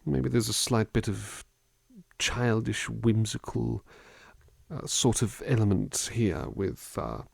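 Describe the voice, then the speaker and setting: Slow, low-voiced gentle butler, acted by a man. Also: animated, vocal fry, no room sound, heavily acted. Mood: pensive. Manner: hesitant, rambling, polite and formal, unhurried. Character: gentle, musing, attentive male butler looking after someone. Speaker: ASMR roleplay performer. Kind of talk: ASMR roleplay, soft reading and musing. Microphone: close dry microphone.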